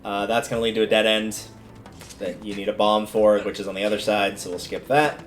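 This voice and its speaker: A man's voice: lowish voice, fairly even intonation, a little fast. A man livestreaming a video game, giving casual commentary and thinking aloud in a conversational way as he plays. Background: video game music.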